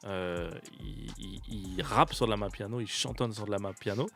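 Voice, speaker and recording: A man's voice: on the low side, fairly bright tone. Young french man, radio host, casual studio chat, laughs a lot. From France. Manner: laughs often, jokey and fast-talking.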